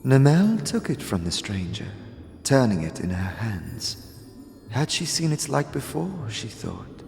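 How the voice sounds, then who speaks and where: A man voicing a mysterious, sad otherworldly woman in a fantasy audio drama, with dreamy, musical, deliberate speech. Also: medium pitch, big pitch swings, creaky, darkish tone, in a large hall, soft background music. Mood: musing. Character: mysterious sad otherworldly woman who speaks of herself in third person.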